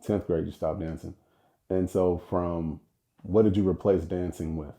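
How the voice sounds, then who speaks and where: Deep dark-voiced male podcast host. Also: steady tone, a little slow. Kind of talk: interview.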